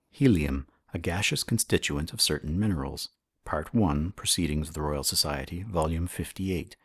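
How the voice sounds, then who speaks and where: A man with a husky voice reads a historical science text as formal, measured audiobook narration. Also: very deep voice, dry recording, clean studio sound. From Canada. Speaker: audiobook reader.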